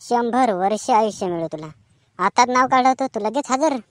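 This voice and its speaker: Comic cartoon performer: woman, higher voice, rural Maharashtrian accent, colloquial banter, dry recording. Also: moderate pace, dry recording. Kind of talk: rustic comic cartoon dialogue.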